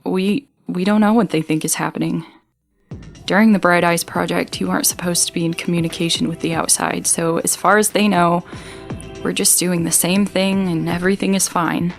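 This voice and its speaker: A woman's voice: fairly low pitch, fairly even intonation, moderate pace, creaky. A young woman acting as a worried, weary stranded student in a sci-fi audio drama, speaking in a hesitant, introspective monologue. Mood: worried, downcast. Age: young adult. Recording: prominent music behind the voice.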